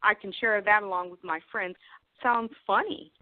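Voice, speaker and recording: A woman's voice: mid-range voice, steady tone, normal speed. A woman hosting an interview in a casual, chatty conversational style. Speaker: interview host. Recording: narrow, lo-fi phone-like line.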